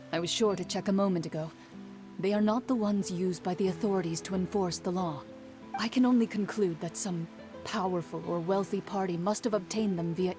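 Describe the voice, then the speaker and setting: A composed young duelist speaks with measured formality, voiced by a woman in a video game. Her voice sits on the low side, and clear music plays beneath her. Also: lightly acted. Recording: game audio with music behind.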